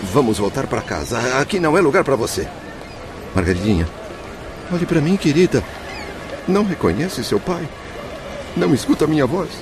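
A man's voice: mid-range voice, sing-song, normal speed, long pauses, noisy background, lightly acted. A man voicing the ghost of an anguished middle-aged father, pleading and distraught, in a radio drama.

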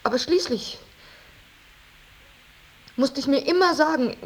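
An actress playing an earnest, devoted unmarried daughter in a German radio drama, speaking in a measured, intense way with weary dignity. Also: female voice, high voice, rough recording. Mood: impassioned. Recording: radio drama with clear tape hiss.

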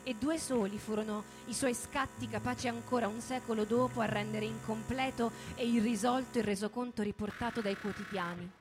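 A woman giving a dramatic scripted reading as in radio theatre, in measured phrases with deliberate pauses. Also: on the high side. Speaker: narrator, theatre performer. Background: music.

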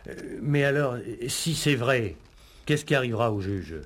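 A man's voice: medium pitch, expressive, measured pace, close to an everyday voice. A man acting in a French police radio play as a puzzled, astonished questioner.

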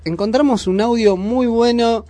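A man's voice: extremely high pitch, moderate pace, dark tone, no echo. A man with an Argentine accent hosting a casual radio show in a rambling, jokey way.

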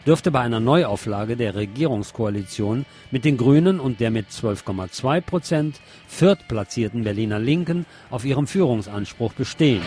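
Male news presenter, scripted reading, medium pitch, faint music underneath. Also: a little mellow.

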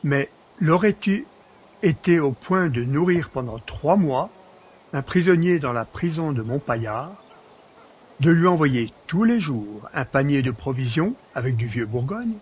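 Man acting mocking family tease, slightly rough voice, smug, familiar, audio drama. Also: fairly high pitch, animated, a little slow. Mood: sly, knowing. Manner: familiar, needling. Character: teasing male relative, familiar, mocking and smug. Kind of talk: French audio drama dialogue.

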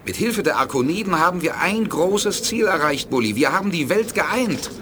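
A man playing a calm, resolute space commander in a 1980s German radio play, firm and earnest in his delivery. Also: sits high, moderate pace. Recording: noisy 1980s radio drama production.

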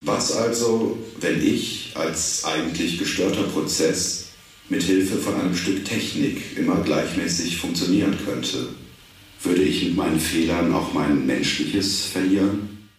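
A man with a deep voice speaks in measured, reflective phrases. He sounds far from the microphone in a very echoey space, with faint noise around him. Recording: very echoey, distant, noisy room.

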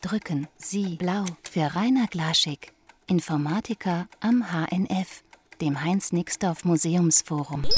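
Low, unhurried woman narrator. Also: darkish tone. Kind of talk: scripted radio documentary narration.

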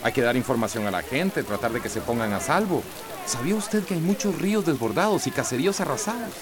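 An actor playing a stern, scolding village doctor with a Central American accent in a radio drama. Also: male voice, medium pitch, animated, fast, crowd noise, close to an everyday voice. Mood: stern, urgent. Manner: stern, authoritative.

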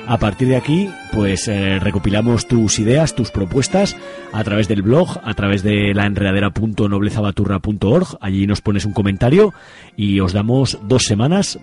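A man giving a relaxed, informal closing announcement for a radio drama. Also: on the low side, moderate pace, faint music behind. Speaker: radio drama producer, host. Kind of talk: casual outro announcement.

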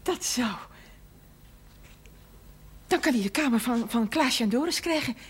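A halting, high-voiced mother. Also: animated, slightly rough. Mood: flustered, grateful. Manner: halting, trailing off. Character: modest, anxious mother of a young priest. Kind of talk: naturalistic television drama acting. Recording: 1970s television audio with background noise.

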